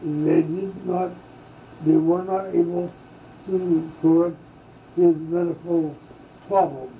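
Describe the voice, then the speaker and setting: An older man from Hungary recounts his childhood in a slow, halting way, stumbling over fillers and restarts. His voice is very dark and mellow, caught on a dull, roomy old tape. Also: on the high side, fairly even intonation, slight background noise. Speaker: oral history interviewee. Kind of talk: slow reminiscing oral history.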